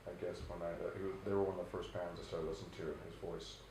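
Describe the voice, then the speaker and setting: Brief: deep-voiced male rock singer, loose rambling answers, roomy echo. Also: racing, background noise. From Canada. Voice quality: very low bass voice. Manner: relaxed, rambling, many restarts and fillers. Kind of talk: casual interview answers.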